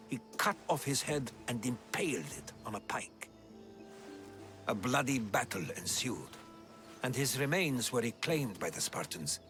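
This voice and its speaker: Solemn Greek historian over music. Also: male voice, mid-range voice, fairly bright tone. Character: learned ancient Greek historian, earnest storyteller, male. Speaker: storytelling companion character. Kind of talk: dramatic video game cutscene dialogue. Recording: game music behind the voice. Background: cinematic music.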